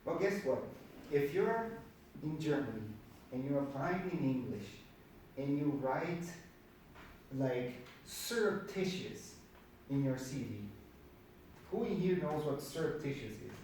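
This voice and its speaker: Echoey, higher-voiced Brazilian man giving a talk. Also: expressive, measured pace, long pauses, darkish tone. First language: Portuguese. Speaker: speaker at a career networking meetup. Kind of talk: informal presentation to a live group. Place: indoor meetup room with an audience. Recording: very echoey room, distant mic, faint noise.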